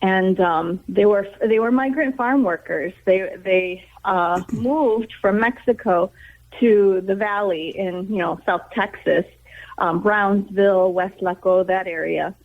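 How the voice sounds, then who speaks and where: A thin, phone-quality line carries an American woman of middle age with a medium-pitched voice. She speaks as an interview guest, telling personal stories in an animated, conversational way. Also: slow. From Toledo, Ohio, United States. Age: middle-aged. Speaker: interview guest, theater educator and director. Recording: narrow, tinny phone-like line.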